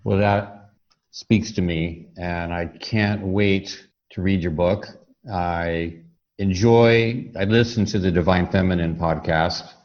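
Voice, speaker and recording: A man's voice: low voice, a little mellow. A man hosting a conversational interview, speaking in an effusive, appreciative way. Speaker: interview host. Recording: dull, muffled lo-fi audio.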